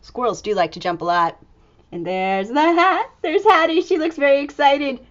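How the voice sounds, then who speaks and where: A woman reading a picture book aloud to young children in an expressive, exclaiming way, drawing out words and dropping into hushed asides. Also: sits high, normal speed, dull audio, a little hiss or hum.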